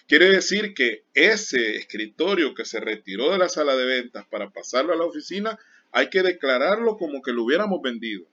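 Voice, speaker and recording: A man's voice: medium pitch, bright, forceful tone. A man teaching an accounting class, explaining with everyday examples and stopping often to warn his students. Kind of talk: classroom lecture. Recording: dull lo-fi sound.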